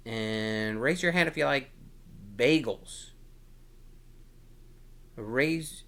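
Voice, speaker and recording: A man hosting a storytime, chatting casually and playfully with young children in an encouraging, halting, rambling way. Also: mid-range voice, vocal fry, fairly bright tone. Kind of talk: casual read-aloud chat to young children.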